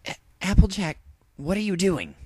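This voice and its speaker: A man's voice: high voice, very animated, normal speed, darkish tone, breathy edge, no room sound, studio quality, pitched above the performer's own voice, full character voice. A man voicing a brash young female pegasus pony with a casual, indignant manner in a storybook reading. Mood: flustered.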